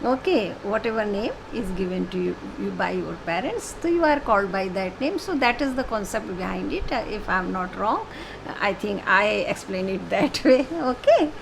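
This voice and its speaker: A woman teaching a French lesson online, explaining slowly with many fillers and repeated phrases. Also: medium pitch, lively intonation, creaky, noisy background. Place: home.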